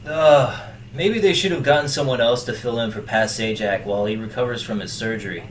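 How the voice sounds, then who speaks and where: A man's voice: medium pitch, a little fast, close to an everyday voice. An actor playing a fussy, rigid, critical boyfriend in his late twenties in an audio drama.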